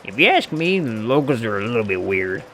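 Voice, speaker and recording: A man voicing a friendly, teasing hobo drifter with a folksy, unhurried way of talking, reading a game character's lines aloud. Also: mid-range voice, very animated, sharp tone, a little hiss or hum.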